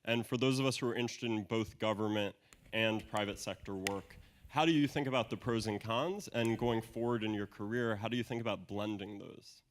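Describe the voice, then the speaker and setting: A man's voice: fairly low pitch, fairly quick, slight background noise. A young male student asking a guest speaker a question, opening with a playful joke before he turns serious. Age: young adult.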